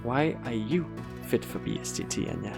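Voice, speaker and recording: A man playing an encouraging school interviewer in an audio drama, measured and reassuring. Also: medium pitch, big pitch swings, gravelly, music in the background.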